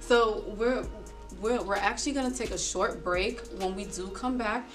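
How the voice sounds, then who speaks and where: A woman's voice: medium pitch, moderate pace, recorded from a distance, music in the background. A woman hosting a podcast, interviewing a guest in a casual, friendly way, chatty with fillers and frequent laughs. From South Florida. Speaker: podcast host.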